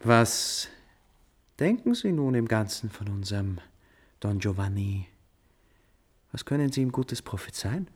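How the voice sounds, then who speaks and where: A man's voice: lowish voice, big pitch swings, measured pace, pauses often, husky, exaggerated voice. An actor playing a courteous male composer in a period radio drama, slightly flustered and searching. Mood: flustered, curious.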